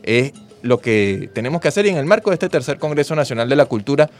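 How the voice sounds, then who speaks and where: A Venezuelan man speaks on a radio talk show at a medium pitch, with a Venezuelan accent. He talks in an earnest, patriotic, speechlike way. A faint music bed plays behind him. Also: very animated, quick pace. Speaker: radio host.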